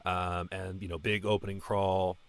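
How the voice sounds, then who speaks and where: Male, late 20s, low register, dry sound. Voice actor as interview guest: casual, rambling, self-effacing. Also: little pitch movement, smooth, no room sound. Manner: halting, rambling, self-deprecating. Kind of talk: casual conversation about a fan project.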